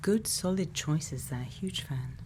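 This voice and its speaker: A woman playing a quirky, gently probing scientist in a soft-spoken ASMR roleplay, murmuring unhurried questions and sometimes half whispering. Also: deep voice, animated, noisy background. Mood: calm, attentive. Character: quirky, gently probing female scientist programming a robot's memories.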